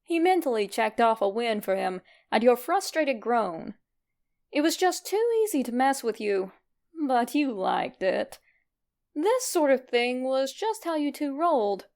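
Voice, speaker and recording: Woman with a highish voice reading a fanfic story out loud, expressive narration. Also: a little slow. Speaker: fan fiction narrator.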